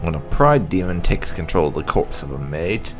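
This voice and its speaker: A man's voice: sits low, sing-song, normal speed, music in the background. A man hosting a gaming channel, reading lore aloud as narration.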